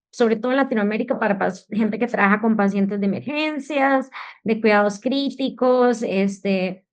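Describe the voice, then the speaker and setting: A Costa Rican woman moderates an online medical lecture and introduces the guest speaker. Her voice sits somewhat high, and she talks informally with "este" fillers. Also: darkish tone. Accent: Costa Rican Spanish. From Costa Rica. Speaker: moderator, anesthesiologist.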